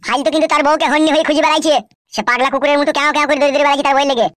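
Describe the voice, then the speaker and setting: A woman's voice: high voice, fairly even intonation, racing, a bit bright, no room sound, studio quality. A woman playing a cheeky, foul-mouthed young male henchman in a comedy cartoon, with a West Bengal Bengali accent. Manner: cheeky, crude, gossipy.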